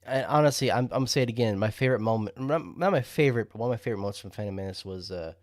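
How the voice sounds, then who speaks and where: A man's voice: fairly low pitch, racing, a little mellow, dry recording, studio quality. A man co-hosting a podcast, easygoing and laughing, casually making his points. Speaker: podcast co-host. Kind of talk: casual chat.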